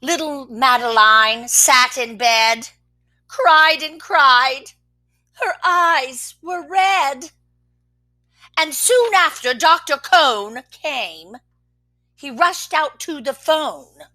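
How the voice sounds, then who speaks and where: A woman reading a picture book aloud to children, expressive, with soft whispered asides between the verses. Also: high voice, very slow. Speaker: children's story reader.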